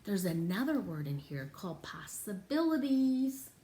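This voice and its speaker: A woman teaching and reading a picture book aloud to young children, interactively, in an exaggerated, expressive way with drawn-out words. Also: medium pitch. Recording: echoey room with faint background noise.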